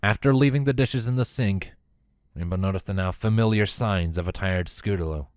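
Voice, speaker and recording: A man's voice: fairly low pitch, normal speed, a little mellow, clear, resonant tone, no room sound, studio quality. A man reading a fan fiction story aloud as scripted third-person narration.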